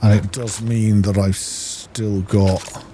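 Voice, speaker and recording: A man's voice: fairly low pitch, flat delivery, slow pace, mellow, slight background noise. A man doing casual gameplay commentary, muttering and thinking aloud to himself. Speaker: gaming video host.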